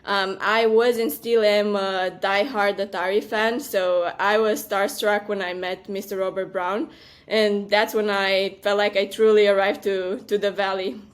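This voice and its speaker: A woman from Romania in her thirties, a venture partner answering interview questions in a measured, earnest way with frequent ums. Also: medium pitch, little pitch movement. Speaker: venture capital partner, guest.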